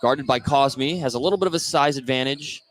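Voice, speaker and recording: A man doing live basketball play-by-play as the announcer, energetic and lifting his voice on scoring plays. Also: mid-range voice, fairly quick. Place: indoor gym at basketball tournament. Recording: noisy gym ambience behind voice. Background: gym ambience.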